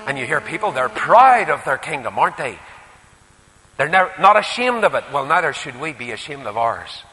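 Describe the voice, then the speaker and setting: A male preacher with a Northern Irish accent delivers a sermon in a big reverberant hall. His voice is bright and forceful, ranging widely from emphatic peaks down to quieter asides. He often turns to rhetorical questions. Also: a little high, very animated, normal speed. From Northern Ireland. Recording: echoey hall with long reverb.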